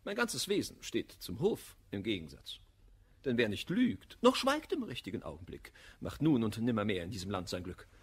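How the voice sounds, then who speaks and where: A blunt, proud gentleman who despises flattery, in a German radio play of classic verse comedy. His voice is markedly rough and breathy, with lively, swooping intonation. He declaims emphatically and scornfully. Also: lowish voice, normal speed.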